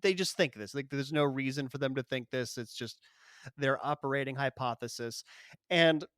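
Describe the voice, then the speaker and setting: A man co-hosting a podcast, chatting casually about a film, animated and swearing, often acting out lines from the movie. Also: medium pitch, moderate pace, clear, resonant tone, dry recording, clean studio sound. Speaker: podcast co-host. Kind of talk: casual film review chat.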